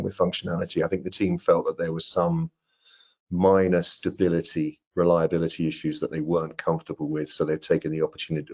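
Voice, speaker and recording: A man's voice: sits low, a little mellow. A middle-aged man answering technical questions informally on a video call, often repeating words as he explains. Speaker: product presenter answering audience questions. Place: indoor room on a video call. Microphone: video call microphone. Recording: dull, band-limited video-call audio.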